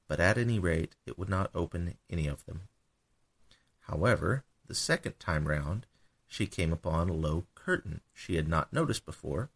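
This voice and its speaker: A man reading a children's bedtime story as a scripted narration. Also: low voice.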